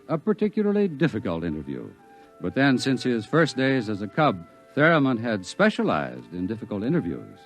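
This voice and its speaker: A man narrating a 1950s science fiction radio drama in a measured, dramatic storytelling way. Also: medium pitch. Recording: old radio recording with faint music.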